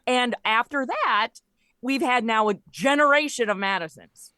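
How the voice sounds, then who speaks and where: A woman speaking as an interview guest in casual chat, telling stories in an animated way and acting out quoted lines. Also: a little high, measured pace, no echo, clean studio sound. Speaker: guest, writer of a comedy audio show.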